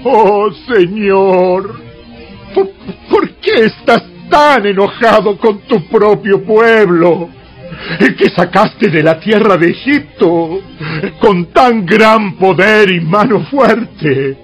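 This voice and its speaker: An anguished prophet in a bible audio drama, slow and stammering, high voice. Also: male voice, animated, lo-fi sound, soft background music. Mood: anguished pleading. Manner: halting, stammering. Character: Moses, pleading, anguished and indignant prophet.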